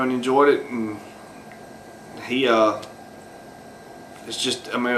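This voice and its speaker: A man with a bright, forward voice and a lilt talks unscripted about his subject, pausing on fillers and restarting his sentences. A faint ambient hiss sits under the voice. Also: mid-range voice, rough recording. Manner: rambling, many fillers and restarts. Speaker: video host. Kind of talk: unscripted solo commentary.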